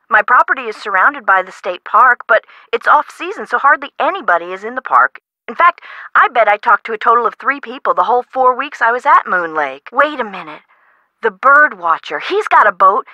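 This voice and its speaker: Casting: dramatic, easily rattled woman homeowner for a game; high, very bright voice, colloquial delivery. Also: normal speed, no room sound, lo-fi sound, heavily acted. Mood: chatty, explanatory. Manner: emotive, expressive, colloquial. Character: frightened, dramatic woman homeowner, chatty and friendly. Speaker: voice actress in an adventure video game. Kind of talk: game character dialogue acting.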